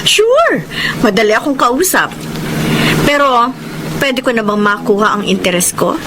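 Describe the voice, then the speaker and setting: A woman's voice: fairly high pitch, big pitch swings, a little slow. An actress playing a sly, flirtatious female moneylender friend in a Filipino radio drama. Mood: teasing, coy. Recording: loud car noise behind the voice.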